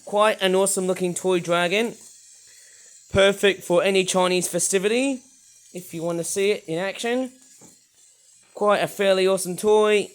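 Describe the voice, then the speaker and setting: High-voiced croaky British man. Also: fairly even intonation, normal speed, pauses often. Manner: rambling, enthusiastic, many hesitations. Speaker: toy reviewer. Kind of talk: casual toy review.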